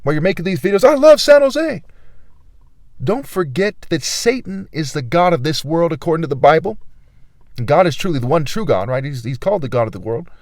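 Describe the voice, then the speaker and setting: A man's voice: expressive, fast, no echo. Ranting man with a dry close sound. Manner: ranting, swearing, swings between low murmur and loud outbursts. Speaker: vlogger. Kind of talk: angry rambling monologue.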